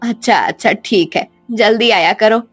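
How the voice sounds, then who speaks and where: Woman as a nagging, unhurried cartoon mother. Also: medium pitch, slightly rough, soft background music. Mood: relenting. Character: scolding, impatient mother of schoolboy. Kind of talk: cartoon storybook voice acting.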